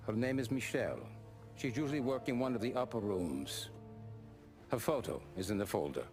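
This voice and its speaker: A man's voice: mid-range voice, animated, normal speed, music in the background, lightly acted. An actor playing a composed, businesslike American mafia adviser briefing his men in a dramatic game scene. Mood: measured, serious. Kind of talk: dramatic video game cutscene acting.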